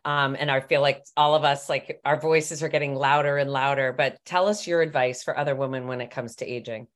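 A woman's voice: rumbling low, steady tone, a little fast. A woman in her fifties hosting a casual interview and asking encouraging questions. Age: 50s. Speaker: interview host.

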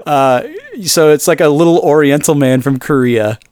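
A man's voice: on the high side, normal speed, darkish tone, clear, resonant tone, no echo. A man co-hosting a film podcast in a casual, rambling, exasperated way, swearing freely. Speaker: podcast host.

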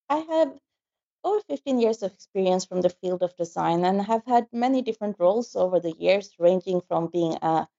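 A middle-aged woman speaking as a panel guest in a measured, articulate way. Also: mid-range voice, creaky, warm tone, highs cut off. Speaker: panel guest, design director. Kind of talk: self-introduction on a panel.

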